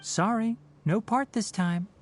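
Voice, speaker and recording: A woman's voice: medium pitch, takes it slowly, noisy background, close to an everyday voice. A woman playing a youthful male forest ranger in a video game, knowledgeable and practical, with a dry, instructive manner. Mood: calm, matter-of-fact. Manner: instructive, matter-of-fact, dry. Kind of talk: video game character voice acting.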